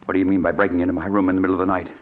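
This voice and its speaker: Jittery, deep-voiced man in vintage radio. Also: very fast. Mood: alarmed, defiant. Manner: stammering, excitable. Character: frightened, haggard guilty businessman, blustering and panicky. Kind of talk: 1940s radio drama acting. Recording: noisy, tinny 1940s radio recording.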